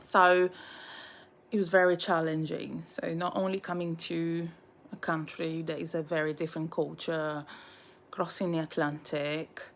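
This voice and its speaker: A woman's voice: on the low side, gravelly, a bit bright. A Brazilian-born woman of nearly forty with a Brazilian Portuguese accent, telling her life story in a chatty personal vlog, full of fillers and restarts. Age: 30s. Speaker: vlogger.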